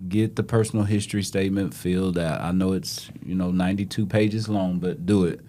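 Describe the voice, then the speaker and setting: Chill low-ish guy hosting a podcast, lots of ums, a little background noise. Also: brisk. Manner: relaxed, many fillers, joking with cohost. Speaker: podcast host. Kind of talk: casual podcast chat.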